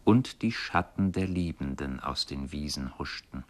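Radio drama narrator: deep male voice, contemplative, measured literary recitation. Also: lively intonation. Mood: reminiscent. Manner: measured, lyrical, solemn. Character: contemplative male narrator recalling river boyhood.

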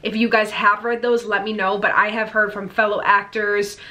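A young woman in her twenties, an actor talking to camera at home, nasal from a cold and excitable, with lots of fillers and restarts. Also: mid-range voice, normal speed. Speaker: actor and YouTuber. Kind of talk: casual vlog advice to camera. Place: indoor room at home. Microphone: camera in front at head-and-shoulders framing.

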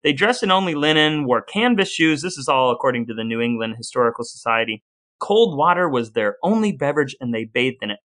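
A man's voice: mid-range voice, lively intonation, brisk, a bit bright. A man co-hosting a podcast in a casual, conversational, wry way, sometimes reading aloud. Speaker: podcast co-host.

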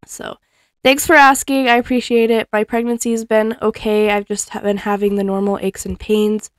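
A young woman talking casually at home while she folds clothes. She rambles in a friendly way, full of ums and small laughs. Her voice sits at a middle pitch, and the recording is dry, with almost no room sound. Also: flat delivery, normal speed, no room sound. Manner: chatty, laughs often, frequent ums. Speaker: cleaning vlogger. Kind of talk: casual chat while folding laundry. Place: living room at home, indoors. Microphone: fixed camera across the room.

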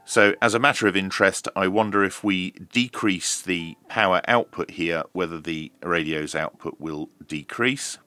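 Deep, bright-voiced man demonstrating equipment. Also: normal speed, few pauses. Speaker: radio reviewer. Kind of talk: hands-on demonstration commentary.

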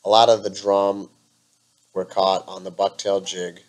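A man calmly narrating his fishing video in a voice-over, explaining with frequent ums. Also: low voice, measured pace, rough recording. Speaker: angler and channel host.

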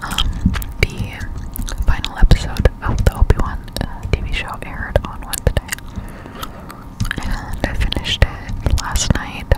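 A woman's voice: a little slow, poor audio. Whispering young woman, close-up ASMR. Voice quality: breathy unvoiced whisper. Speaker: gaming ASMR streamer. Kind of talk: ASMR, casual gameplay chat. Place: indoors in a room at home. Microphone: close-up desktop condenser microphone.

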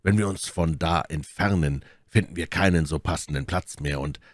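A man voicing a calm, commanding and wry musketeer in a measured way for an audiobook. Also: extremely low pitch, dry recording, clean studio sound. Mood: cool, wry. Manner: measured, unhurried, authoritative. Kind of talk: audiobook character dialogue.

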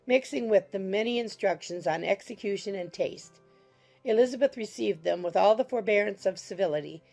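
Creaky, very mellow woman narrator. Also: mid-range voice. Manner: measured, formal reading. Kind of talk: scripted audiobook narration.

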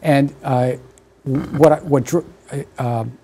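A man in his sixties, German his first language, speaking at a middle pitch with a warm, dark tone. He thinks aloud, stopping and restarting with many fillers. He is recorded on a lapel mic in a dark television studio. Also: fairly even intonation, measured pace, husky. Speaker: author and guest. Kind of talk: studio interview conversation.

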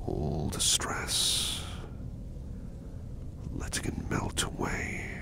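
Gentle protective butler-type servant for ASMR roleplay male actor: soft breathy whisper, deep gravelly fry, unhurried, courteous and comforting. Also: exaggerated voice. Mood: soothing, hushed. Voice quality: soft, breathy whisper with low creaky rasp. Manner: slow, tender, reassuring, formally polite. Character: gentle, protective male servant soothing his master to sleep. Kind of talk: ASMR roleplay, personal attention.

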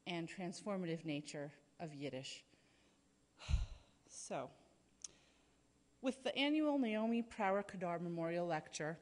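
A woman in her thirties at a podium, giving prepared introductory remarks from notes with frequent fillers. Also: fairly low pitch. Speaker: event speaker introducing a lecturer. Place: large lecture hall, at a podium. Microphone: podium microphone. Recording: reverberant hall.

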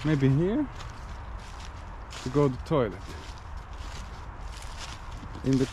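A man's voice: medium pitch, very animated, extremely slow, dark tone. A man, a Finnish native speaker, exploring an abandoned house outdoors and thinking aloud in casual commentary, often restarting his sentences. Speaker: urban explorer. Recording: noisy outdoor camera audio.

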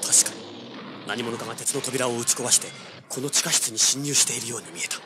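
An actor playing a cocky, ruthless young treasure hunter in a radio drama, brash and hard-boiled. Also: male voice, mid-range voice, a little fast, noisy background, close to an everyday voice. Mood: tense, urgent. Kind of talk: dramatic radio drama acting with first-person narration.

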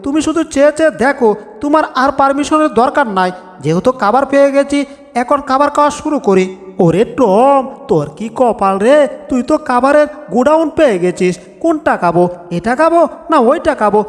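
A man voicing an excitable, boastful, lovestruck cartoon cat, exclaiming theatrically to himself. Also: very high voice, a little fast. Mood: greedy delight. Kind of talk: Bengali cartoon dub comedy. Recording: long reverb with music.

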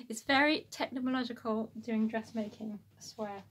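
A woman's voice: on the high side, a little slow, a bit bright, breathy edge. A woman, chatting casually and informally to camera as a sewing vlogger in a small room at home.

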